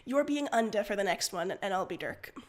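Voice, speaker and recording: A woman's voice: mid-range voice, expressive, a little fast. A woman co-hosting a livestream, chatting casually in an upbeat, giggly manner. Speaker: livestream co-host. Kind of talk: casual chat.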